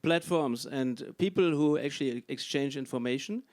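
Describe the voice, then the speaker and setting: A man answering on a panel, speaking hesitantly with many pauses and restarts. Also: medium pitch, darkish tone.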